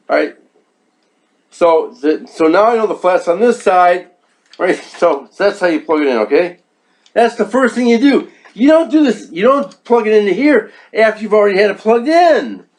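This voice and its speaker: A man with a notably high voice walks through a product demonstration in a casual, instructional way. He repeats himself for emphasis and keeps checking that the listener follows with little tag questions. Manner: repetitive, emphatic, checks in with "okay?" and "right?". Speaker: product reviewer.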